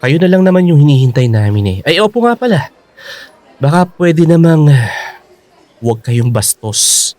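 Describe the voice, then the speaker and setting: Young male lead for radio drama: highly animated pitch, teasing, coaxing, defiant boyfriend. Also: moderate pace, long pauses, no echo. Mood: firm, pointed. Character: young man, defiant, reassuring boyfriend plotting a surprise. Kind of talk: Filipino radio drama acting.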